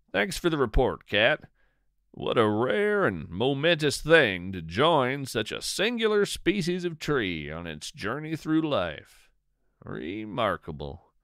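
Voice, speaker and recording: A man's voice: medium pitch, fairly bright tone, no room sound, studio quality, heavily acted. A man playing a whimsical, earnest naturalist host in a scripted fiction podcast, with a quirky, wondering manner. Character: earnest, whimsical male naturalist radio host of strange creatures.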